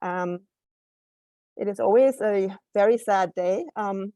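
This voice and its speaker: A woman with a German accent speaks over a video call, earnest and measured, pausing often as she finds her words. Her voice is mid-pitched and sounds close and dry, with no room around it. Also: dark tone, dry recording. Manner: earnest, with frequent hesitations. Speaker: council member. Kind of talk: meeting remarks.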